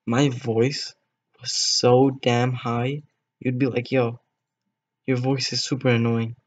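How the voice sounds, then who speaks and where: A man's voice: mid-range voice, little pitch movement, slow pace. A teenage boy streaming a game, chatting casually with viewers in a rambling way, voice cracking now and then. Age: teens. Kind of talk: casual gameplay stream chat.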